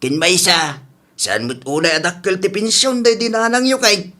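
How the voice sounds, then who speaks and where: A man playing a worried, thrifty old father in a radio drama, questioning and gently reproving with an Ilocano accent. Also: high-pitched, moderate pace. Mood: earnest, defensive. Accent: Filipino (Ilocano). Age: older.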